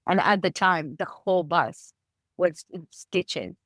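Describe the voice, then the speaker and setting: A woman from Israel interviewing a podcast host, speaking in a thoughtful, measured way. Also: fairly low pitch, dry recording, studio quality. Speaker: guest interviewer. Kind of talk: conversational interview.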